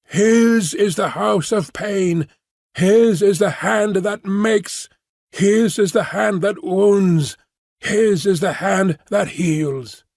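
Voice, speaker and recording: A man voicing a hunched male beast-man with a thick voice and a whistling overtone, intoning slowly in a sing-song. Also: high-pitched, sing-song, no echo, clean studio sound, pitched above the performer's own voice, full character voice. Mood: chanting.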